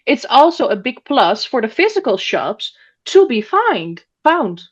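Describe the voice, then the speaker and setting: Woman, higher voice, lo-fi sound. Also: sing-song, a little slow, husky. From Netherlands. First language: Dutch. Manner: thinks aloud with frequent uh and restarts. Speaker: columnist guest. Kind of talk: casual roundtable discussion.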